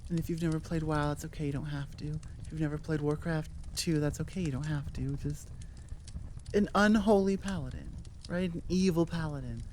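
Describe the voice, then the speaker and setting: Woman, lowish pitch, casual chat to listeners, tangent-prone, keen delivery, faintly noisy recording. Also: fairly quick, a little mellow. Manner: chatty, tangential, enthusiastic. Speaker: audio creator and host.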